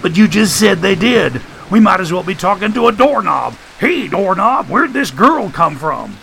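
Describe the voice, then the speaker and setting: A man's voice: high-pitched, big pitch swings, normal speed, creaky, fairly bright tone, slight background noise, pitched above the performer's own voice, full character voice, rough recording. A man voicing a folksy, wisecracking man in an audiobook, full of sarcasm and mock-pompous big words. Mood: mocking, exasperated.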